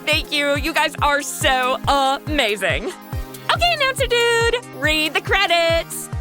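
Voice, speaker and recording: Brash, teasing young comedy heroine, high-pitched, swinging intonation, exclamatory. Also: female voice, expressive, slow pace, over music. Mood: friendly, upbeat. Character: brash, excitable, wisecracking young woman. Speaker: show's lead and host. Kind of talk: comedy audio drama acting and narration.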